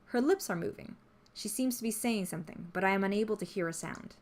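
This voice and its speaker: A woman reading a story aloud as scripted narration, in an expressive storytelling manner. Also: medium pitch, fast talker. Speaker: visual novel player reading the text aloud. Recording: music behind the voice.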